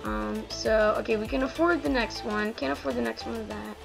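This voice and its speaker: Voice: medium pitch, steady tone, brisk, creaky. An eleven-year-old child giving casual, rambling gameplay commentary in a slightly strained, slangy voice. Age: child, almost twelve. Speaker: gaming video host. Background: background music.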